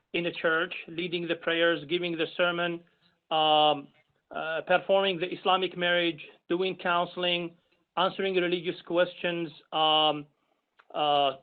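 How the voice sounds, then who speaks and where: A man from Algeria whose first language is Arabic, an imam introducing himself at a public meeting in measured speech with many pauses. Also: high-pitched. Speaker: imam and commission member. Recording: tinny phone-like call audio.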